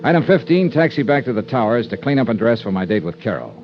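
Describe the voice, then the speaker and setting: A man's voice: medium pitch, big pitch swings, fast. An American man playing a wry, hard-boiled investigator who narrates his case in a clipped 1950s radio drama style. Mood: dry narrating. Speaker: lead actor and narrator. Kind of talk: 1950s radio detective acting with first-person narration. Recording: dull vintage radio recording with music.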